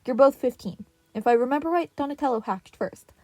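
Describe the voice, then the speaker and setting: A woman voicing an exasperated older male scientist on the phone, speaking slowly and wearily with sighs. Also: sits high, expressive, very noisy, pitched above the performer's own voice. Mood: weary. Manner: slow, weary, sighing.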